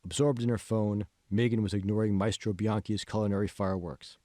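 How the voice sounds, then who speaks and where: A man's voice: fairly low pitch, steady tone, fairly quick, warm tone, no room sound, studio quality. A man reading scripted audiobook narration of a novel. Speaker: author reading own audiobook.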